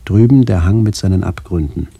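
An actor playing a reflective middle-aged man narrating a literary radio play in a measured, contemplative way. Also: low voice, gravelly, warm tone, no echo. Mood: pensive. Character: reflective man visiting his ageing family.

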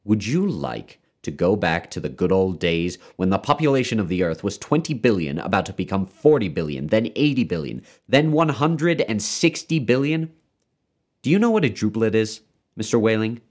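A man's voice: on the low side, lively intonation, normal speed, pitched above the performer's own voice, close to an everyday voice. A man voicing a very old, pompous male doctor who lectures with grand emphasis, in a story reading. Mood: stern lecturing. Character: pompous, preachy, very old male doctor championing population control.

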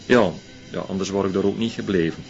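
An actor playing an evasive, gossipy Flemish man who talks in a halting, offhand, colloquial way in a radio drama. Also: low voice, steady tone, creaky. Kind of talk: naturalistic radio drama acting. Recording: dull radio drama with faint music.

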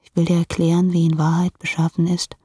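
A woman's voice: on the low side, completely flat delivery, brisk, dark tone, breathy edge. An actress playing a frank, reproachful woman challenging her hesitant lover in a literary radio drama, intense and unsparing. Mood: frank, accusing.

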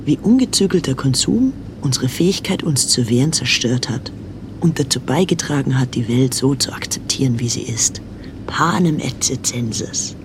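Gravelly, pensive actor as filmmaker, a man narrating. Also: medium pitch, expressive, normal speed, noisy background, lightly acted. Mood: questioning, brooding. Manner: reflective, questioning. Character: pensive male filmmaker narrating his quest, disillusioned former activist. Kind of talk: scripted radio drama narration.

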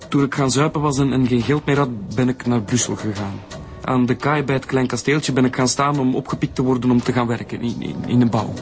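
Man playing a halting, cornered Flemish ex-convict. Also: medium pitch, lightly acted. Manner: halting, repeating words as he explains. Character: cornered male ex-convict suspect, defensive, pleading father. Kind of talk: naturalistic radio drama acting.

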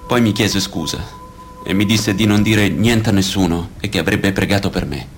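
A man's voice: sits low, moderate pace, a little hiss or hum, close to an everyday voice. A man reading scripted reports and testimony in a radio documentary play. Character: male victims recounting teenage abuse by priests.

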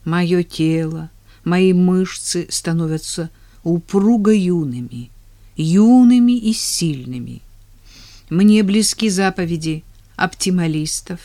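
Slow, soothing guided-relaxation woman. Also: on the low side, dark tone. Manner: slow, soothing, measured delivery. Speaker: relaxation and vision trainer.

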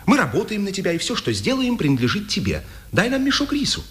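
Defiant communist prisoner, dry irony, really animated pitch, old soviet radio play. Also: male voice, heavily acted. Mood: ironic, defiant. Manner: dry irony, deliberate storytelling. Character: defiant, ironic male Communist writer held prisoner. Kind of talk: 1950s Soviet radio drama acting.

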